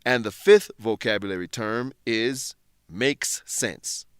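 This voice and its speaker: A man presenting an English lesson in scripted narration, explaining things in a measured way with careful enunciation. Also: lowish voice, clear, resonant tone, no echo, clean studio sound.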